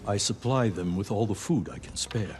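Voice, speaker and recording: A man's voice: lowish voice, normal speed, darkish tone, slight background noise, lightly acted. A man playing a humble, kindly temple monk in a video game, speaking modestly and courteously. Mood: humble, helpful. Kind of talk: cinematic video game acting.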